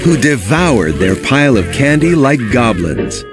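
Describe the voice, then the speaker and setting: A man's voice: mid-range voice, big pitch swings, unhurried, over music. A man narrating a children's read-along storybook from a script.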